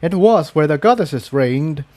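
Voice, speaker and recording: A man's voice: fairly high pitch, expressive, a little slow, mellow, pitched above the performer's own voice. A man voicing a cautious, serious, learned male unicorn in a fantasy story reading, earnest and wary. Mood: explaining.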